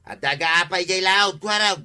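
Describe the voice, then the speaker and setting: A man acting an excitable, complaining village husband in a radio comedy drama, with an Ilocano accent. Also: high voice, normal speed, clear, resonant tone, faint music behind. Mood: urgent calling.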